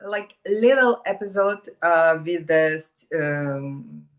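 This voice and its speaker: Russian-accented woman, slightly low voice. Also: lively intonation, takes it slowly. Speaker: actress, interview guest. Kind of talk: casual conversational interview.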